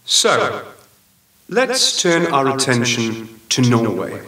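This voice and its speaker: A man's voice: mid-range voice, sing-song, slow, bright, forceful tone, heavily acted. An actor playing a sober male presenter in a radio play, reading facts in a formal, matter-of-fact way. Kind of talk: scripted factual narration. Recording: roomy, distant from the mic.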